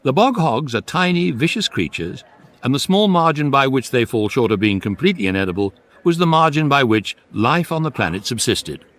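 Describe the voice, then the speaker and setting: A wry British narrator tells a comic science-fiction radio story. His mid-pitched voice moves with lively intonation, measured and drily deadpan.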